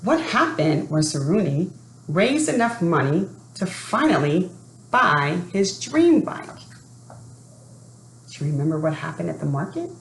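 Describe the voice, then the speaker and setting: A low-voiced woman speaks slowly and deliberately, as if guiding young listeners through a storybook session. Her delivery is expressive, and the room around her sounds echoey. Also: vocal fry. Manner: deliberate, expressive, addresses children with questions. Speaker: educator reading a children's book aloud. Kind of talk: read-aloud storytelling and talk to families. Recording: echoey room with faint background noise.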